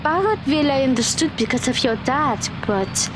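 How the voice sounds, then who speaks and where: A woman's voice: high voice, lively intonation, moderate pace, background noise. A woman playing an outspoken, forthright teenage girl in an audio drama. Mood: curious.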